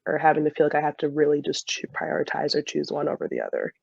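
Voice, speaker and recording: A woman's voice: deep voice, wildly swooping pitch, fast, gravelly, slightly rough, no echo. A woman, chatting casually and informally as an interview guest on a dull recording. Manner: chatty, frequent laughter and filler "like". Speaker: podcast guest, soccer player and dental student.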